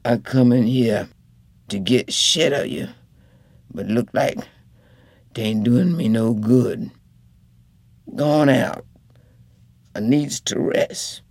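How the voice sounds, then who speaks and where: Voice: mid-range voice, takes it slowly, pauses often, a little mellow, no room sound, heavily acted. A reader voicing a bitter dying husband. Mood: gruff. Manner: gruff, resentful.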